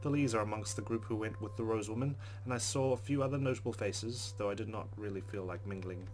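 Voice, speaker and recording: A man's voice: on the low side, quick pace, a little mellow, slight background noise. A man reading a visual novel aloud as a let's play host, with casual commentary.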